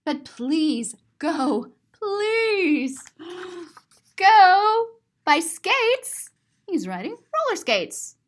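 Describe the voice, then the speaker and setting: Female children's storyteller, high voice, very slow delivery, sing-song and expressive, stretching key words for young listeners. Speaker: children's story reader and teacher. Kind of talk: animated read-aloud picture book storytelling for children.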